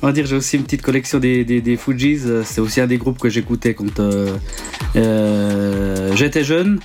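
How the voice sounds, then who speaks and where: A man's voice: mid-range voice, moderate pace, a little mellow, faint music behind. A man in his forties from Switzerland whose first language is Italian, a DJ and producer answering interview questions casually, hesitating often with ums and restarts. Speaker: DJ and producer guest.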